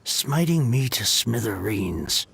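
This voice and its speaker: An actor playing a grouchy, dramatic older book collector in a video game, with a gravelly, theatrical grumble. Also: male voice, medium pitch, sing-song, slow pace, a bit bright, a little hiss or hum, heavily acted. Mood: exasperated, ranting.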